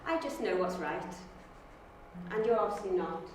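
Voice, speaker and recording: A woman's voice: mid-range voice, lively intonation, moderate pace, darkish tone, close to an everyday voice. Indignant, loyal female councillor, played by a woman, improvised drama, roomy noisy recording. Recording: distant, very echoey room with background noise.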